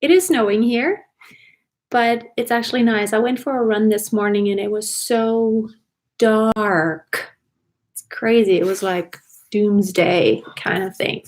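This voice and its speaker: A woman's voice: medium pitch, fairly even intonation, unhurried. A woman from Germany, a native German speaker and singing teacher, talking casually and enthusiastically to viewers as a livestream host. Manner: chatty, enthusiastic, addresses viewers directly.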